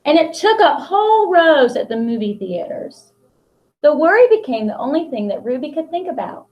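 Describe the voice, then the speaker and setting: A middle-aged American woman, a school principal, reads a picture book aloud to children in simple, expressive phrases. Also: high-pitched, normal speed, highs cut off. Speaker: school principal reading to pupils.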